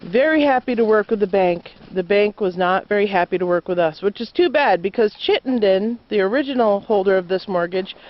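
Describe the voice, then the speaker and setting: A woman's voice: medium pitch, brisk, hardly any pauses. Earnest woman, lo-fi recording. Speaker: homesteader. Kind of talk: plain spoken statement.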